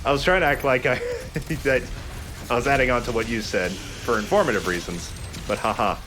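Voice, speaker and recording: A man's voice: mid-range voice, brisk, over music. A man giving casual commentary as he plays through a video game. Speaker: let's player.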